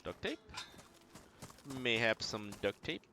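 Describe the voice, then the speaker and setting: A man's voice: on the low side, very animated, slow pace, creaky, pushed and bright, slight background noise. A man giving casual gameplay commentary as he streams a survival game, muttering short, playfully quaint remarks to himself.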